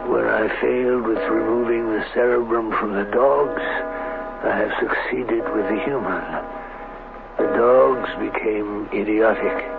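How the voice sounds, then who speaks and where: A man's voice: fairly low pitch, vocal fry, narrow, tinny audio. An actor in an old radio drama playing a mad scientist, condescending and coldly doting toward his captive subject, on a noisy old broadcast. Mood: coldly triumphant. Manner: condescending, coaxing, measured.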